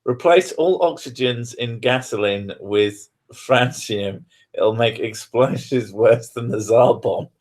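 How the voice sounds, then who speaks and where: A man's voice: mid-range voice, takes it slowly, darkish tone. A man chatting casually with his partner, joking and swearing a lot and laughing often. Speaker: streamer.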